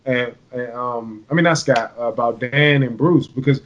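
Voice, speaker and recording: A man's voice: mid-range voice, normal speed, highs cut off. A man co-hosting a sports podcast, ranting in frustration about his team's management. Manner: exasperated, ranting fan. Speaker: podcast co-host. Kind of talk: casual sports banter.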